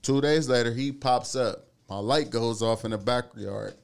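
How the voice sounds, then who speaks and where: A man in his thirties from the Cleveland area telling animated, slangy stories in casual chat, swearing freely. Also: medium pitch, normal speed, vocal fry, clean tone. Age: 30s.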